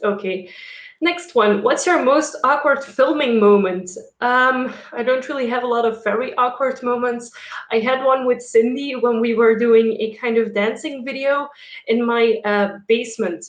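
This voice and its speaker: A woman's voice: fairly high pitch, a little slow, roomy sound. A young woman with a Dutch accent, a native speaker of Dutch and French, chatting casually with her viewers on a live stream, with many fillers. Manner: chatty, frequent fillers and laughs. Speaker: YouTuber.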